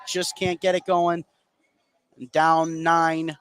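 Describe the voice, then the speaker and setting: High-pitched man calling basketball on radio. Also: monotone, extremely slow, fairly bright tone. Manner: running narration in short clipped phrases. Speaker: radio play-by-play commentator. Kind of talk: live high school basketball commentary. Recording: noisy gym ambience behind. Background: ambient noise of a basketball game.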